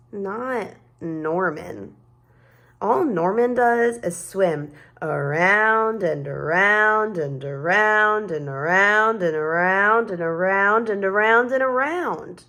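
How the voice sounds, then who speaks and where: A woman reading a children's story aloud in an expressive way, stretching words for dramatic emphasis. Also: medium pitch. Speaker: storybook reader.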